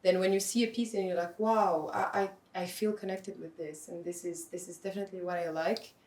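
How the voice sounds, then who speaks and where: A woman, an art advisor and podcast guest, speaking thoughtfully and softly, often pausing to search for words. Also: on the low side, fairly even intonation. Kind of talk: casual podcast conversation. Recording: roomy echoey room sound.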